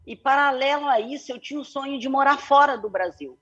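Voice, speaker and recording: A woman's voice: sits high, brisk, fairly bright tone, muffled recording. A middle-aged woman from Belo Horizonte with a Mineiro Brazilian accent, telling her story at length as a guest on an online panel, with many "né" fillers. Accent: Mineiro Brazilian Portuguese. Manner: long flowing telling with frequent "né" and "é" fillers. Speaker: guest speaker, company CEO.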